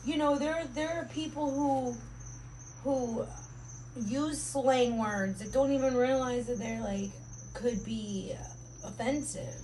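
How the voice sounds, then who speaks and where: A woman's voice: a little high, slow, dark tone, a little hiss or hum, rough recording. A woman of Mexican heritage co-hosting a casual podcast, talking bluntly with lots of swearing. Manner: blunt, profane, emphatic. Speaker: podcast co-host. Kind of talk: casual chat.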